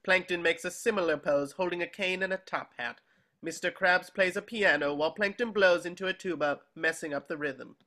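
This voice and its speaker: A narrator with a bright, crisp-edged tone and mid pitch reads scripted stage directions. The delivery is that of a table reading, describing the action. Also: brisk.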